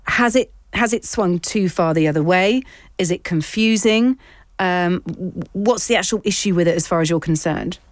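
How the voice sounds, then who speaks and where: A woman's voice: lowish voice, moderate pace, no echo, studio quality. A woman interviewing a guest, asking probing questions with stammered starts.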